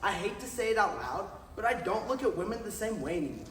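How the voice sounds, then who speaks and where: A man's voice: high-pitched, very animated, quick pace, fairly bright tone, slight background noise. A young man playing an ashamed married addict in readers theatre, earnestly projecting his confession. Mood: regretful confession. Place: large carpeted conference room, far camera. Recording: echoey hall, distant microphone.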